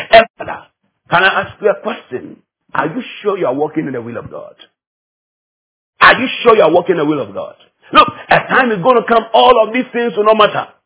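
A man's voice: sits high, fairly bright tone, breathy edge, rough recording. A man from Ghana with a Ghanaian accent preaching a teaching sermon, emphatic and repeating phrases to drive them home.

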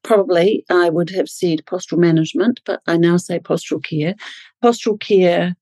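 A woman's voice: lowish voice, steady tone, normal speed, a little mellow, no echo. A middle-aged woman with a New Zealand accent, a physiotherapist explaining her work thoughtfully in an interview. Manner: thoughtful, self-correcting explanations. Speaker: pediatric physiotherapist guest. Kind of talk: interview answers.

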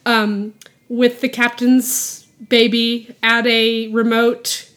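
A woman with a fairly high voice and an unhurried, drawn-out delivery, co-hosting a movie podcast on a podcast microphone. She rambles through her points, laughing as she goes. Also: level tone, a bit bright. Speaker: podcast co-host. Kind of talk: casual chat.

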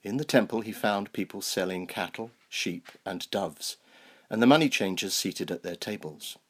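A clergyman with a fairly low, noticeably husky voice. He speaks as if from prepared notes, measured and explanatory, in the style of a sermon or a reading from scripture. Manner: measured, explanatory, rhetorical questions. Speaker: clergyman, Anglican canon.

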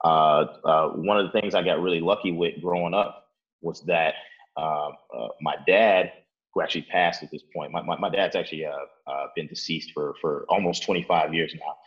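Deep-voiced young man, rambling casual talk. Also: steady tone, normal speed, muffled recording. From Chicago, Illinois. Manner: rambling, many fillers like "like" and "uh", restarts. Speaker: interviewee. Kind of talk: casual interview, storytelling. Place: his apartment.

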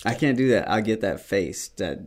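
A man's voice: on the low side, animated, moderate pace, a little hiss or hum. A man hosting a podcast in casual, conversational talk. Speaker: podcast host. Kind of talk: casual chat.

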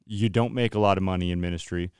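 A man hosting a podcast, talking casually with a deep voice and rambling, self-correcting sentences. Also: steady tone, fast talker, darkish tone, smooth, no room sound, studio quality. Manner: rambling, restarts often, self-deprecating. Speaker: podcast host. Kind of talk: casual chat.

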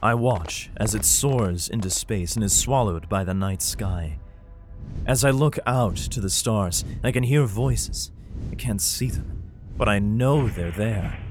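A man's voice: on the low side, a little slow, lightly acted. A man acting a worried, protective father who narrates his own story in a sci-fi audio drama. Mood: brooding, weary. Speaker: narrator and lead actor. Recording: loud music under the voice. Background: dramatic music.

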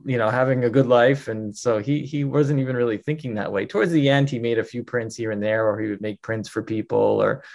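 A man's voice: mid-range voice, darkish tone. A man answering interview questions at length, telling a life story fluently with many fillers. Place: New York.

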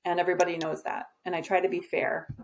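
A woman's voice: on the low side, flat delivery, fast talker, rough recording. A woman guest on a podcast, talking in a casual, direct and confident way about her business, with frequent "um" fillers. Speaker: podcast guest, agency owner. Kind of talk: casual conversational interview.

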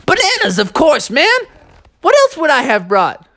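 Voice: sits high, huge pitch swings, moderate pace, pushed and bright, pitched above the performer's own voice, full character voice. A storyteller voicing a mischievous male martial arts character in a children's story, with a cheeky, teasing manner. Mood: eager.

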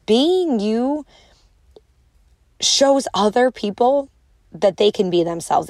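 A woman's voice: medium pitch, a little mellow, dry recording. A young woman with a strong Chicago accent, hosting a solo motivational podcast in a casual, encouraging way. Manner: casual, encouraging, acts out inner voices. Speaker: podcast host.

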